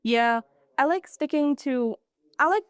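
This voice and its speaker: A teenage girl hosting a student podcast, chatting casually with lots of filler words and lively opinions. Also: high-pitched, measured pace, dry recording. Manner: chatty, many filler likes. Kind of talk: casual chat.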